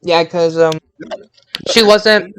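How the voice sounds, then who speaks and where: A man's voice: sits high, fairly even intonation, slow, fairly bright tone, slight background noise. A man co-hosting a podcast, making casual remarks about pro wrestling. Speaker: podcast co-host.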